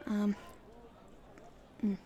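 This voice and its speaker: A woman playing a cheeky young street thief in an ASMR roleplay, chatting casually and haltingly to the listener. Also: warm tone, a little hiss or hum. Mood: hesitant, evasive. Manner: casual, halting speech with hesitations.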